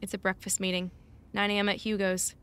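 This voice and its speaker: An actress playing an unflappable, wry assistant who teases drily and briskly, in naturalistic audio drama style. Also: female voice, mid-range voice, monotone, fairly bright tone, smooth, no room sound, lightly acted. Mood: wry, knowing.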